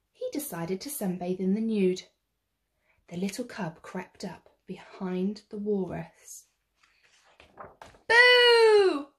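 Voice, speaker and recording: Woman storyteller, lively and unhurried. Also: mid-range voice. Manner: theatrical, drawn-out emphasis on action words. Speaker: storyteller reading a picture book to children. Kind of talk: expressive read-aloud storytelling.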